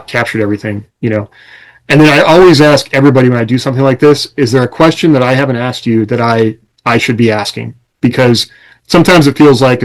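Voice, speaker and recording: A man's voice: mid-range voice, poor audio. An American man talking casually as a podcast host, explaining things conversationally with examples and quoted lines.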